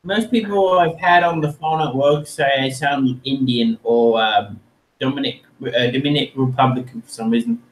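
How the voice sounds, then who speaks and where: A man's voice: mid-range voice, moderate pace, echoey room, low-quality recording. A man from England whose accent blends English and American, joking dryly about himself in casual chat. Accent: mixed English and American. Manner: dry, self-deprecating humour.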